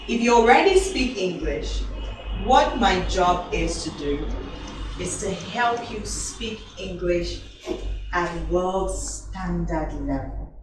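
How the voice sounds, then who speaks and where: A woman, an elocution teacher, giving a talk to teenagers, asking them questions and repeating herself for emphasis. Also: on the low side. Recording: roomy hall from a distance, music behind.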